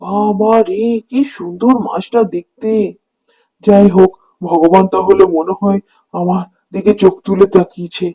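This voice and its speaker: A man's voice: high voice, steady tone, unhurried, a crowd in the background. A man voicing a poor, kind-hearted village fisherman and father in a cartoon, with a plaintive manner. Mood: amazed. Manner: plaintive, lamenting. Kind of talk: cartoon dubbing. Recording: music under the voice.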